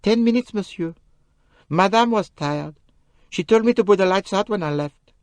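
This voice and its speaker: Man voicing a high, French-accented young maid. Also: moderate pace, no echo. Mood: evasive. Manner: pleading, emphatic, broken English phrasing. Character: young French lady's maid, nervous and voluble. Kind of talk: audiobook character voice.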